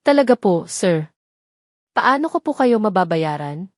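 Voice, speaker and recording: A woman's voice: medium pitch, very animated, a little slow, no echo, clean studio sound. A woman voicing a humble, anxious young nurse in an audio drama, polite and pleading. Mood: hopeful, timid.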